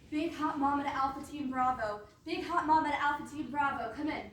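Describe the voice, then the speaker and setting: A woman's voice: sits high, a little fast, a bit bright. A woman playing a cool, scheming young fairy-tale princess in comic readers theatre, flippant and theatrical. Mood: urgent. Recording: roomy, distant stage recording, faint noise.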